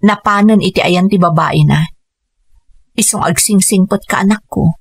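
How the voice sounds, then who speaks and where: A woman playing an abandoned mother in a radio drama, speaking in a steady, earnest way. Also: lowish voice, slightly rough, no echo. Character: abandoned mother, resolute and weary.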